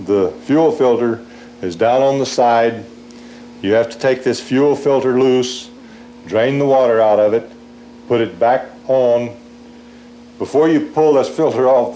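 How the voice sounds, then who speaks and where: A man's voice: medium pitch, mellow. A man giving a plain, folksy, hands-on instructional demonstration as a maintenance worker for a training film. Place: generator room in a pump house. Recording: echoey room, faint hum, 1980s training film.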